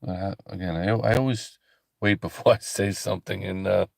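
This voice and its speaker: A tired man hosting a late-night ministry livestream, talking slowly and softly with a calm, relaxing voice, with many pauses and restarts. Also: sits low, vocal fry, no room sound. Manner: slow, halting, soft-spoken, many fillers and restarts. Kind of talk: casual late-night livestream chat with viewers.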